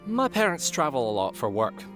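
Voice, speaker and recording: A man voicing a cheerful, excitable young pegasus pony who loves nature, with an animated and cheeky manner, in an audio drama. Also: medium pitch, moderate pace, over music. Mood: upbeat. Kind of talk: acted dialogue in a fan-made audio drama.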